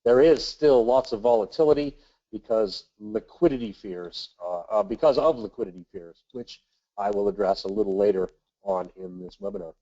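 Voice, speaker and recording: A man with a nasal voice from a cold, hosting a weekly investment webinar and reading market commentary in a measured way with the odd filler. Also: lowish voice, dark tone, dull audio. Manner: measured, reads from notes with filler uhs. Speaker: webinar host, investment manager.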